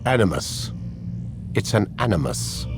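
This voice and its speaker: A middle-aged scientist from a game cutscene, cold and condescending. He speaks precisely and with menace, his pitch moving widely, while prominent music plays behind him. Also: very animated, measured pace, creaky, exaggerated voice. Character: cold, condescending older male research scientist. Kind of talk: scripted video game cutscene acting.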